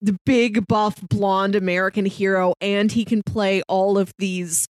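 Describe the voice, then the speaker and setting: A woman's voice: medium pitch, steady tone, measured pace, a little mellow. A woman co-hosting a podcast, chatting casually and articulately about films with playful asides. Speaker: podcast co-host reviewing films. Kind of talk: casual film review chat.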